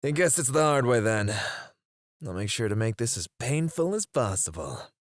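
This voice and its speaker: A man playing a menacing, teasing kidnapper in an ASMR roleplay, drawling his taunts and dropping into hushed murmurs close to the microphone. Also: medium pitch, big pitch swings, normal speed, no echo, close to an everyday voice. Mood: taunting, cruel. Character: menacing, teasing male kidnapper interrogating a captive. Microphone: close studio microphone.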